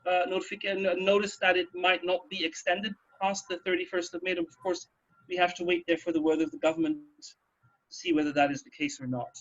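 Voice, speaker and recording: Male radio host, fairly high voice, explanatory casual talk, muffled sound. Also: flat delivery, fairly quick, a bit bright. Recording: dull, muffled band-limited sound.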